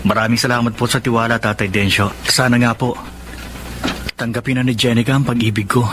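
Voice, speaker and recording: A man as devoted young Filipino suitor, mid-pitched, respectful, eager, radio drama. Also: steady tone, brisk, music in the background, close to an everyday voice. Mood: earnest, respectful. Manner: polite, earnest, eager.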